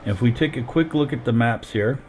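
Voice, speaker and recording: A man's voice: mid-range voice, brisk, darkish tone, a little hiss or hum. A man streaming a farming game while sick and losing his voice, explaining each step as he plays into a headset. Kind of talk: casual gameplay commentary.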